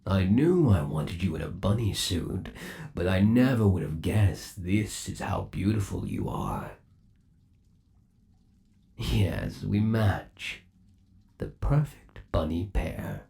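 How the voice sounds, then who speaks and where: A man's voice: deep voice, big pitch swings, takes it slowly, creaky, dark tone, heavily acted. A man playing a possessive, lovesick undead animatronic rabbit boyfriend in an ASMR roleplay, cooing in a doting, theatrical and sinister way. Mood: romantic, gleeful.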